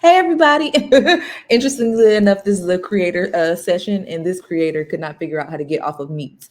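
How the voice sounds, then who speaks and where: A woman who is a travel creator and journalist, introducing herself on a panel in a lively, expressive way. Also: fairly low pitch, quick pace.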